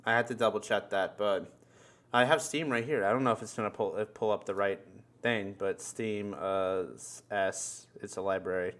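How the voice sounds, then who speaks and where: A man's voice: fairly low pitch, fairly even intonation, normal speed, a bit bright. A man chatting casually as a podcast host, joking around and swearing freely. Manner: profane, joking. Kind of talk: casual chat.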